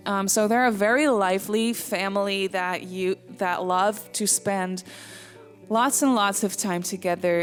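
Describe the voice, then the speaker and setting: Chatty young woman with a Dutch accent. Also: mid-range voice. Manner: soft, chatty, rambling with many ums and small laughs. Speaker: Sims builder and YouTuber. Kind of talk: casual voice-over commentary on a speed build.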